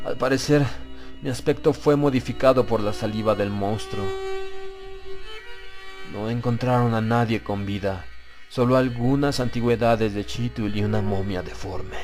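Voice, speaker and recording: Man, average pitch, dramatic horror storytelling, deliberate grave delivery, prominent background music. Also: fairly even intonation. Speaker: audiobook narrator.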